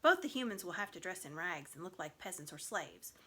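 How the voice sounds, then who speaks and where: A woman voicing a humble, gentle, sensible talking mare, meek and earnest, in a storybook reading. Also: fairly low pitch, sing-song, brisk, slightly rough, full character voice. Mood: tentative.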